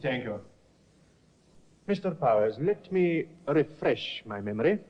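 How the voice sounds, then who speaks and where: An actor playing a shrewd, polished defense barrister in a 1950s radio drama, speaking in a measured, probing way. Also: male voice, mid-range voice, big pitch swings. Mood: cross-examining. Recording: dull lo-fi old radio broadcast.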